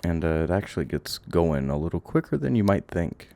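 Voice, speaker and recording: Male game streamer, low creaky voice, casual and sweary, talks to himself; noisy recording. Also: big pitch swings, fairly quick, dark tone. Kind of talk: casual gameplay commentary, thinking aloud. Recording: audible background noise, clicks.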